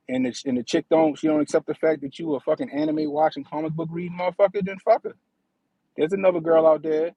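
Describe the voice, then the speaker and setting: Thirtysomething guy chatting on stream, cussing a lot, kinda high husky voice. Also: a little mellow, dry recording, poor audio. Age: 30s. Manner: profane, slangy, repeats "you know what I mean". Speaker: livestream host. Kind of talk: casual livestream chat.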